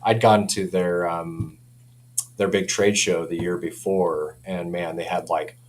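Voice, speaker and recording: A man's voice: low voice, fairly even intonation, unhurried. A man chatting casually as a company representative explaining audio products, easygoing and colloquial, often saying "you know". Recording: clear background noise with hum.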